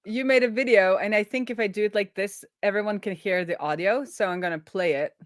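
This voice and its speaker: A woman's voice: mid-range voice, brisk, no room sound. A woman co-hosting a casual livestream chat in an enthusiastic, playful manner. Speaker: livestream co-host.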